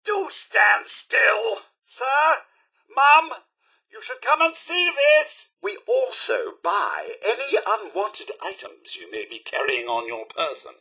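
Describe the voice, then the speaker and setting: An actor playing a fussy, loyal robot butler in a video game, with a posh, theatrical British manner and formal servant speech. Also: male voice, very high-pitched, big pitch swings, takes it slowly, sharp tone, husky, heavily acted. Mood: politely fussy. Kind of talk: video game character voice lines.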